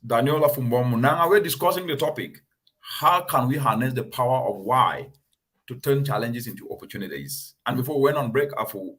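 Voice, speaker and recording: A man's voice: medium pitch, sharp tone. Earnest man hosting a talk. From Cameroon. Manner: earnest, emphatic, measured. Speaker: host. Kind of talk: talk show discussion.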